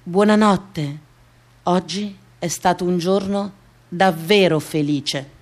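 A woman performing a theatrical radio monologue as a tender, self-loving woman, speaking deliberately with incantatory repetition. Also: on the low side. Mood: tender, self-accepting. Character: tender woman lovingly listing her own body parts.

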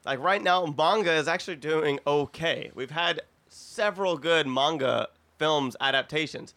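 A man's voice: a little high, measured pace, sharp tone. A man chatting casually and eagerly as a panelist on a podcast. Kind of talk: casual excited group chat.

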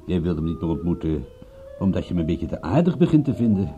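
Mellow, gruff private detective. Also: male voice, low-pitched, very animated, quick pace, highs cut off, faint music behind. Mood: needling. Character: stubborn, irritable male private detective, wry and principled. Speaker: actor in a radio play. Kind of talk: radio drama acting.

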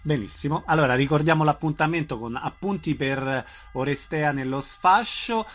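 A man's voice: fairly high pitch, moderate pace, fairly bright tone, faint music behind. A man working as a radio interviewer, asking questions in a friendly, informal style.

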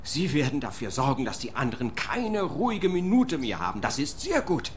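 A man's voice: mid-range voice, fairly bright tone, slight background noise. A man playing a fussy, querulous, self-pitying landlord in a radio play. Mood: bitter, aggrieved. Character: fussy, aggrieved male landlord obsessed with order.

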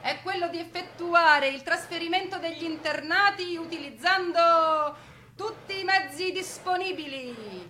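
A woman giving a theatrical scripted narration as a stage storyteller, with dramatic, deliberate phrasing. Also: extremely high pitch, bright, forceful tone, slight background noise.

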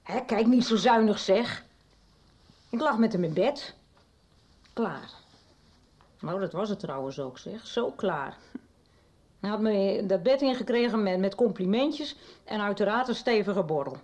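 A woman's voice: mid-range voice, animated, measured pace, pauses often, darkish tone. An actress in a 1990s radio play voicing a middle-aged woman who chattily confides her past, with little hesitations. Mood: confiding. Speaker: radio drama character. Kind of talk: 1990s radio drama acting.

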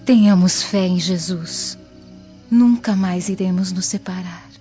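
A woman's voice: on the low side, measured pace, over loud music, lightly acted. A woman playing a devout, tender young woman in love in a radio drama. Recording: dull lo-fi audio.

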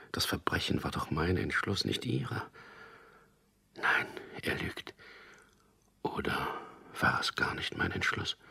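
An actor in a radio drama playing an anguished, bitter condemned man in his fifties, with a gravelly, often breathy voice and intense delivery. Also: a bass voice, sharp tone, heavily acted. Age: 50s. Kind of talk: radio drama acting, dialogue and intimate inner monologue.

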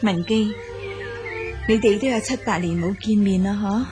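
A woman's voice: medium pitch, measured pace, music in the background, natural voice. A woman acting in a Cantonese audio drama as a gracious empress of ancient China, stately and reassuring. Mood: admiring. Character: gracious ancient Chinese empress, praising and consoling.

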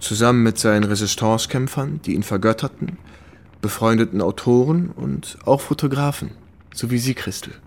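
An actor playing a weary American war reporter who reminisces candidly in a radio drama. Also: male voice, medium pitch, normal speed, vocal fry. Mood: reflective.

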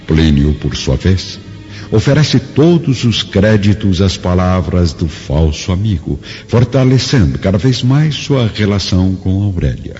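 A man's voice: sits low, sing-song, a little slow, mellow. A man reading scripted dramatic narration in a solemn, literary manner. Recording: dull hall reverb, music behind.

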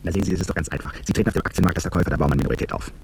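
A low-voiced businessman in a radio drama, talking very fast. He is a smooth schemer, persuasive and condescending, on a noisy recording. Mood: businesslike, persuasive. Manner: brisk, patronising, persuasive.

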